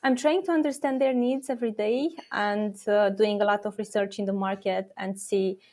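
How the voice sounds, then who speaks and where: A woman's voice: mid-range voice, steady tone, normal speed, darkish tone. Woman explaining earnestly with fillers. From Romania. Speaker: startup co-founder and product officer guest. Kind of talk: interview answers.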